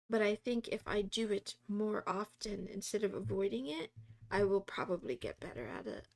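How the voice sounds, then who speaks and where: Woman, streamer, casual coding chat, rambling with fillers, slightly high voice. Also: vocal fry, darkish tone. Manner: rambling, chatty, full of "like" fillers; talks to chat viewers and laughs often.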